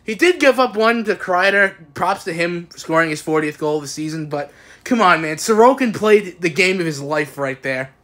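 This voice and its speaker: A young man giving casual, excitable postgame recaps as a sports fan, recorded on a phone at home. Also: sits high, normal speed. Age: young adult. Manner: excitable, exclamatory fan cheering. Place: small indoor room at home. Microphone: phone at arm's length.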